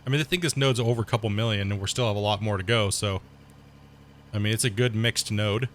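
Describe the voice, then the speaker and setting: A man's voice: fairly low pitch, fast talker, slight background noise. A man who is sick and losing his voice, giving casual, rambling commentary over his own gameplay and explaining terms as he plays. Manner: rambling, explains terms, excited outbursts at mishaps. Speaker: gaming channel host.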